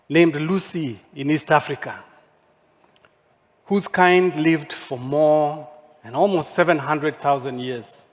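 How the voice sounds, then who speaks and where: A man who speaks English as his second language, giving a measured, poetic keynote lecture with deliberate rhetorical questions. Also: fairly high pitch. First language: not English. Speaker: architect giving a keynote talk. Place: large hall at a forum. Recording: long hall reverb, stage mic.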